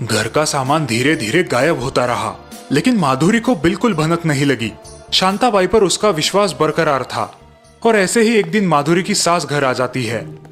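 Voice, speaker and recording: A man's voice: a little high, animated, moderate pace, a bit bright, faint music behind. A man reading scripted narration for a cartoon story.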